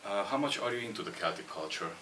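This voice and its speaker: Very deep-voiced woman interviewer, quick. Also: expressive. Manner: brief questions, constant soft backchannel murmurs. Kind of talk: interview questions. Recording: roomy distant sound with clear ambience.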